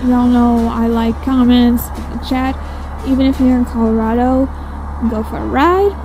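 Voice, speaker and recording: A woman's voice: a little high, unhurried, music in the background, low-quality recording. A woman in her twenties chatting casually and rambling while riding a motorcycle, with a giggly, friendly manner. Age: 20s. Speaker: motovlogger. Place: outdoors on a moving motorcycle. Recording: wind and engine noise.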